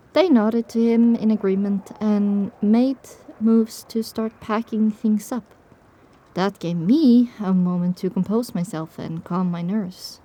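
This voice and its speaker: Dark, mellow female story narrator. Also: mid-range voice. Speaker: audiobook narrator. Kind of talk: scripted first-person story narration.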